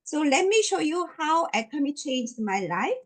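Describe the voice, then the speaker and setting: A woman's voice: sits high, big pitch swings, normal speed. A middle-aged woman from Korea with a Korean accent, giving an emphatic, repetitive motivational business presentation as a network marketing presenter.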